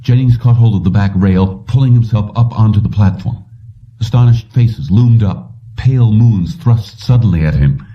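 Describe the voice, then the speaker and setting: Male audiobook reader for scripted sci-fi narration, lowish register, lo-fi distant sound. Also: normal speed. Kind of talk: scripted narration of a science fiction story. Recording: distant, dull low-fidelity audio.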